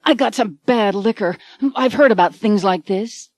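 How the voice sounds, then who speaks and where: A woman's voice: mid-range voice, normal speed, darkish tone, no room sound, lightly acted. A hungover male writer, flustered and exasperated, grumbling his way through a baffling morning, as a woman narrator puts him on. The sound is dry and close.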